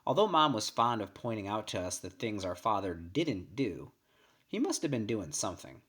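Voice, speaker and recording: A man with a medium-pitched voice reads a first-person short story aloud as scripted narration. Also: big pitch swings, normal speed, fairly bright tone. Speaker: story reader.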